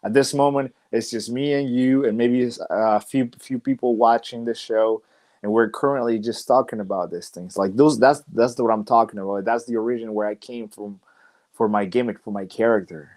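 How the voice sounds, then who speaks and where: A man's voice: mid-range voice, steady tone, moderate pace, a little mellow. Young man rambling with many fillers. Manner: rambling and reflective, many "like" and "you know" fillers. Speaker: professional wrestler, podcast guest. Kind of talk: casual interview chat.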